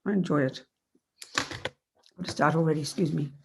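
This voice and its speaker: A meditation teacher with a cold, sounding a bit croaky, explaining in a rambling, self-correcting way over a video call. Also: female voice, low-pitched, fairly even intonation, moderate pace.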